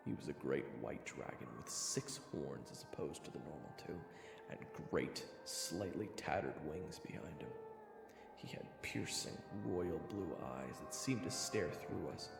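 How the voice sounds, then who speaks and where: Echoey guy doing a sarcastic young hero narrator, animated, blunt, fantasy audio drama with music behind. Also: extremely low pitch, a little slow, creaky, full character voice. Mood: storytelling. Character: brash, foul-mouthed young man, loyal hero and narrator. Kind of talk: first-person audio drama narration and dialogue.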